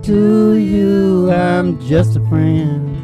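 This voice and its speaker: A man with a notably high voice hosts a country comedy show, folksy and playful. He takes his time, rambling between banter and acoustic singing, with guitar music loud around him. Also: extremely slow.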